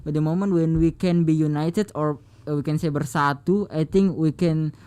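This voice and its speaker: A man with an Indonesian accent, a radio guest speaking earnestly in halting English. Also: a little high, fairly even intonation, mellow, clear, resonant tone.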